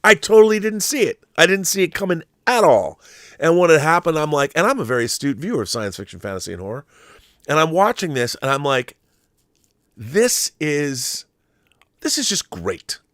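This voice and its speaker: A man's voice: fairly high pitch, big pitch swings, normal speed, fairly bright tone, no room sound, studio quality. A man hosting a film commentary show, talking in a casual, opinionated monologue with an effusive, emphatic, rambling manner and some swearing.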